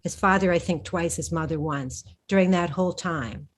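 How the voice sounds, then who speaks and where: A woman speaking as the guest author in a conversational interview, her voice sitting a little low. She thinks aloud as she talks, circling back and restarting her sentences before landing on a point. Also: moderate pace. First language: English. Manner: thoughtful, with frequent restarts and fillers. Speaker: historical fiction author, guest.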